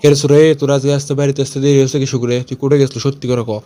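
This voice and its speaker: Man playing fast-ranting angry Bangladeshi cartoon father. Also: mid-range voice, little pitch movement, warm tone, lightly acted. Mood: threatening. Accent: Bogura, northern Bangladesh. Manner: scolding, blustering, full of threats. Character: angry, threatening village father scolding wife and son. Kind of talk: amateur comic cartoon dub.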